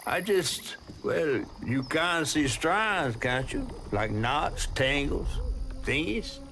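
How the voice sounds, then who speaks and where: Theatrical Southern talking fish, swooping pitch. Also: male voice, lively intonation, slow pace, vocal fry, sharp tone, a little hiss or hum, heavily acted. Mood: explaining. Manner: flowery, wordy, wheedling. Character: folksy, chatty male talking fish, flattering and theatrical. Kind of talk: video game character acting. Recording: music behind the voice.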